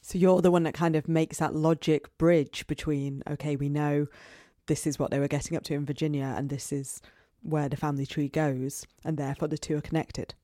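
A woman interviewer summing up a point in a flat, summarizing way. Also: low voice, fairly quick, no room sound, studio quality. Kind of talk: interview question.

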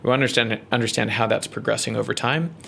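A man with a New Zealand accent, a research scientist explaining his work in an interview, measured and full of ums and uhs. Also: mid-range voice, fairly even intonation, gravelly, a bit bright, slight background noise.

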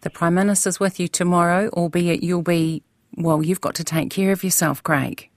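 A radio interviewer asking questions in a measured, polite, courteous way. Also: mid-range voice, fairly even intonation, no room sound.

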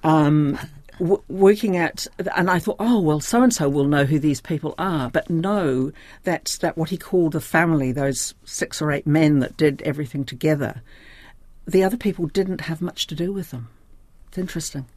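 A woman's voice: low-pitched, normal speed, darkish tone, no room sound. An older woman with a New Zealand accent speaking reflectively as an interview guest, thoughtful and often searching for her words. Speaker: interview guest, diary editor.

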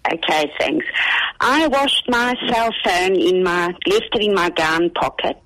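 A woman's voice: on the low side, animated, a little slow, a bit bright, dry recording, low-quality recording. A woman calling in to a radio show, chatting through a story. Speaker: caller.

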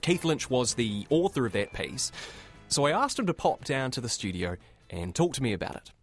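A man's voice: medium pitch, very animated, moderate pace, faint music behind. A man with a New Zealand accent hosting a podcast, conversational and curious. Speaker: podcast host. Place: radio studio.

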